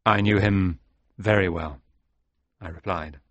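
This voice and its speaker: A man playing a sombre, reflective sailor recounting his past in a dramatised audiobook, speaking in a measured, grave way. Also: low-pitched, fairly even intonation, dry recording, highs cut off. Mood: subdued, grave.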